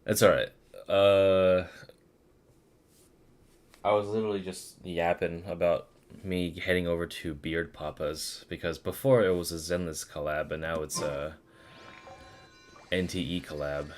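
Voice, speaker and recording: A man's voice: sits low, little pitch movement, measured pace, long pauses, slight background noise. A man streaming a video game in a casual, informal way, thinking aloud and swearing now and then. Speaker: game livestreamer. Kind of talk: casual stream chat with gameplay commentary. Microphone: streaming microphone. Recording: music playing behind the voice.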